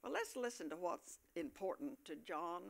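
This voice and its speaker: An older woman narrating a staged play from a script, reading slightly haltingly. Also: fairly low pitch, very animated, gravelly, a little hiss or hum.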